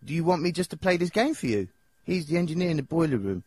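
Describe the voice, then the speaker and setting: An actor with an English accent voicing a nervous, dim, childlike young singer in a video game, hesitant and rambling in slangy speech. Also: male voice, fairly high pitch, sing-song, fast talker, darkish tone, husky, no echo. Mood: dismissive, chatty. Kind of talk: video game character dialogue.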